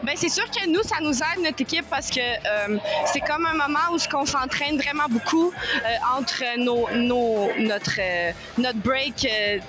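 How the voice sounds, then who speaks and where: High-voiced Québécois woman, nineteen. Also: measured pace, over music. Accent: Québécois French. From Quebec, Canada. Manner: chatty, many "euh" fillers and searching for words. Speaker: college basketball player. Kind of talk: casual interview testimonial.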